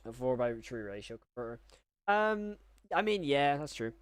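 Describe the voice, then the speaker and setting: Smooth-voiced young man rambling on a livestream. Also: mid-range voice, very animated, dry recording. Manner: rambling, halting, rephrases himself mid-thought. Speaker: live streamer and horror video reviewer. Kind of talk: casual live-stream chat, reacting and reading viewer messages.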